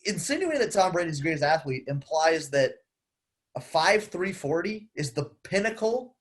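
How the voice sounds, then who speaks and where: A young man co-hosting a casual sports podcast, animated as he explains his points at length. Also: fairly high pitch, normal speed, slightly rough. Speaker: podcast co-host.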